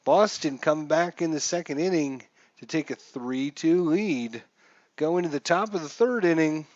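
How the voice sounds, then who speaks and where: A man streaming casual play-by-play commentary of a baseball game, with announcer-like calls and pauses. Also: on the high side, no room sound, muffled recording. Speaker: live streamer, host.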